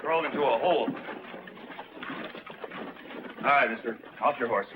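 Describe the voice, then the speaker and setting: An actor playing a steady, reassuring Western deputy with an American accent and a plain-spoken manner. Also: male voice, medium pitch, very fast, phone-quality sound, background noise, close to an everyday voice. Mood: businesslike.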